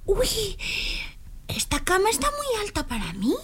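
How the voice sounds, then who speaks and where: A woman playing a curious, meddlesome little girl with a squeaky, childlike voice in a children's storybook recording. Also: huge pitch swings, measured pace, heavily acted. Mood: musing. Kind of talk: children's storybook audio acting.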